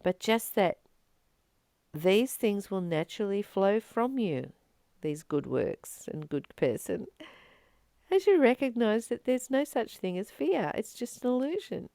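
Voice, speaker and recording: A woman gives an informal spiritual talk at a slow, unhurried pace. Her pitch sits in the middle and swings widely as she earnestly leans on repeated words for emphasis. The sound is close and dry. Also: no room sound. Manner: earnest, expressive, emphatic repetition. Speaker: spiritual teacher and channel host.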